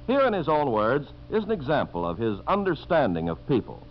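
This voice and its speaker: A man's voice: mid-range voice, huge pitch swings, brisk. A man with an American accent reading scripted narration as a 1950s radio announcer. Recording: muffled, noisy 1950s radio recording.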